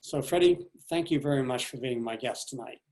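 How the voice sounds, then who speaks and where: An older man hosting an interview over a video call from a large living room, introducing his guest in an admiring, unhurried way. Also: mid-range voice, a little mellow, low-quality recording. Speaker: show host. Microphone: video call webcam.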